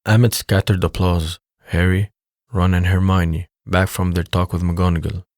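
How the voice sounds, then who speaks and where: A man's voice: sits low, level tone, moderate pace, darkish tone, no echo, clean studio sound. A man reading scripted narration for an audio drama as its narrator.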